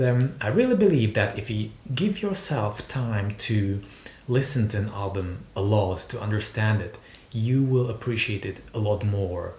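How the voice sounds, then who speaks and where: A man from Slovakia with a Slovak accent, talking casually to camera in a hesitant, rambling way, often repeating words. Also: a little mellow. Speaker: video host, record collector. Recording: roomy echo, noticeable low rumble noise.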